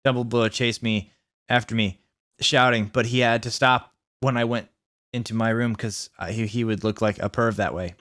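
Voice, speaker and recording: A man's voice: medium pitch, steady tone, normal speed, a bit bright, no echo, studio quality. A man reading a story aloud in a theatrical way, stumbling over the text now and then. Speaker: video host reading fan fiction aloud. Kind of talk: expressive story reading with ad-libbed asides.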